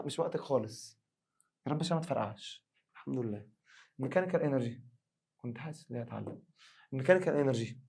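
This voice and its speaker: A male science teacher with an Egyptian Arabic accent, hoarse, giving an animated exam revision lesson and acting out students' questions. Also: a little high.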